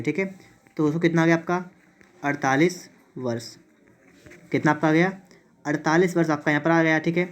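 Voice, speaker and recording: A man teaches exam math step by step, repeating himself and asking questions that he answers himself. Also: fairly high pitch. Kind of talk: exam tutorial, step-by-step problem solving.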